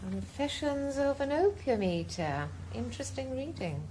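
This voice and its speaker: A cool, soft-spoken client. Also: female voice, high-pitched, very animated, normal speed, background noise. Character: poised woman client hiring a detective.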